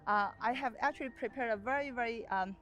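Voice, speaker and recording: A woman in her thirties from China with a Chinese accent, giving an earnest, slightly hesitant personal pitch. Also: fast, a bit bright, highs cut off, faint music behind. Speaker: property developer pitching as a contestant. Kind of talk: personal pitch and chat on a TV show.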